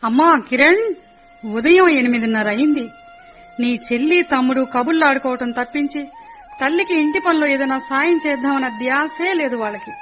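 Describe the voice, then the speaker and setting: A woman's voice: sits high, a little fast, a bit bright, faint music behind. An actress playing a caring middle-aged mother of three in a radio play, scolding fondly. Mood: fussing. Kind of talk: radio drama acting.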